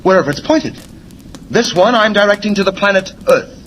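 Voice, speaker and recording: Through clear vintage radio noise comes an urgent alien scientist, pitched high for a male voice. He is grave and devoted, speaking with theatrical emphasis in the earnest manner of a 1940s adventure serial. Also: animated, fairly quick, fairly bright tone. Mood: earnest, explaining. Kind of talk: 1940s radio drama acting.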